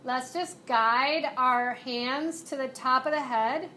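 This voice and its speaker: A woman instructor guiding a live chair yoga and mindfulness class, cueing movements and breaths in a calm, unhurried way. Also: sits high, slight background noise. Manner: slow, soothing cueing with breath prompts.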